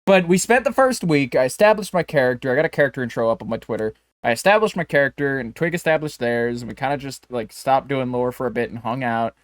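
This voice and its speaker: A man's voice: medium pitch, animated, fast talker, few pauses, dry recording. A man co-hosting a podcast in casual chat, bantering jokily and swearing freely. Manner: profane, jokey bantering. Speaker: podcast co-host.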